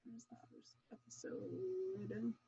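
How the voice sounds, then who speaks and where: A woman's voice: extremely high pitch, lively intonation, slow pace, gravelly, dark tone, muffled recording. A giggly woman chatting casually as the guest of an interview. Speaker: series creator being interviewed. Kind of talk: casual chat.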